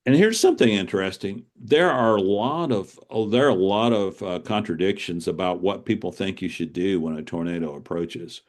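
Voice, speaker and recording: Deep-voiced man explaining in an interview. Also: moderate pace, few pauses. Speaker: author being interviewed.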